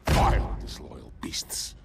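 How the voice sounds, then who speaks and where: A man's voice: takes it slowly, heavy background noise, lightly acted. A man voicing a fierce alien warrior commander in a video game, formal and vehement. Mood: bitter disgust. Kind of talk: dramatic video game acting. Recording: loud music behind.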